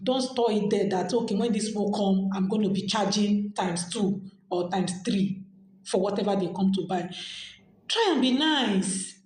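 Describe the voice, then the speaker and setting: A woman's voice: mid-range voice, moderate pace, a little mellow. Nigerian woman in a very echoey room. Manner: chatty, repeats phrases while explaining. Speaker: podcast host. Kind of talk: casual advice chat.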